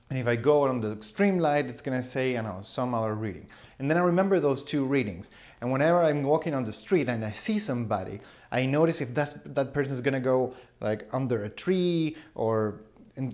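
A man's voice: medium pitch, darkish tone. A man in his thirties chatting casually to camera in a small room, explaining things with fillers and little laughs. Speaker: photography vlogger. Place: small indoor room with shelves. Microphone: camera in front, close framing.

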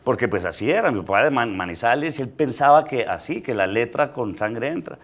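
A middle-aged man from Colombia speaks on a studio talk show set. His pitch sits in a middle range. He tells his story in a loose, halting way, with repeated words and small laughs. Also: lively intonation. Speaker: guest comedian. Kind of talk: casual talk show chat.

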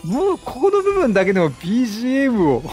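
A man's voice: very high voice, huge pitch swings, fast talker, darkish tone. A man chatting casually as he commentates on a video game, with game music behind him. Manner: chatty, reads dialogue aloud in acted voices. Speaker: let's play streamer. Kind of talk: casual game commentary with improvised character dubbing.

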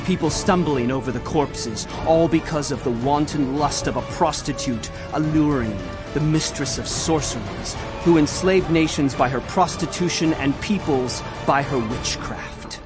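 A man's voice: on the high side, unhurried, few pauses, over music, close to an everyday voice. A man playing a prophet narrator, a solemn and urgent herald of doom, in an emphatic dramatized scripture reading. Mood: grim.

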